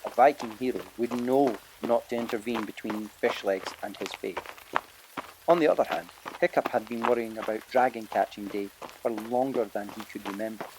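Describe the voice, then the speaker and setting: A man's voice: fairly low pitch, moderate pace, noisy background. A man reading a children's adventure audiobook as a scripted narrator.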